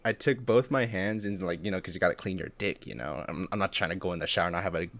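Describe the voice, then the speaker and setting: A man's voice: sits low, fast talker, creaky, fairly bright tone, no echo. A man co-hosting a podcast, telling anecdotes in casual, slangy talk with plenty of swearing. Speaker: podcast co-host.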